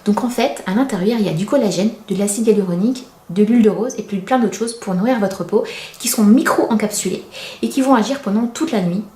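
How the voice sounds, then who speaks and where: A woman's voice: medium pitch, brisk, warm tone. A woman in her thirties giving a casual, chatty product review as a beauty vlogger, confiding and self-deprecating. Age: 30s. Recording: roomy, echoey indoor sound.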